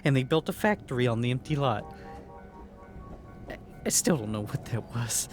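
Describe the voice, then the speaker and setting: A man voicing a storyteller who recalls a strange creature with halting, stammering speech. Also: medium pitch, expressive, soft background music, lightly acted.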